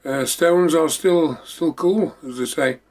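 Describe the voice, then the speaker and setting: A man's voice: fairly high pitch, takes it slowly, a little mellow. A man hosting a memorabilia show, chatting casually in a rambling, repetitive way. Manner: rambling, repeating himself. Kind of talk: casual show-and-tell chat.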